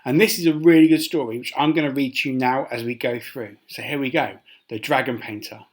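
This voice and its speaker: A man reading a children's story aloud as a teacher, expressive and with dramatic emphasis. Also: medium pitch, fairly quick.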